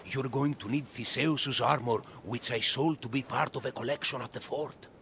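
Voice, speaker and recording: A man playing a gruff, guilt-haunted collector in a video game, speaking in a brooding, clipped, cryptic way. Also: medium pitch, normal speed, fairly bright tone, slight background noise. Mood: bitter, brooding. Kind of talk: video game cutscene acting.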